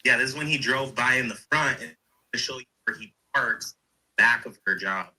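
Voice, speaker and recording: Guy w really sharp bright voice, excited casual talk, keeps repeating himself and explaining stuff. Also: mid-range voice, normal speed, slightly rough, poor audio. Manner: insistent, repetitive, explanatory.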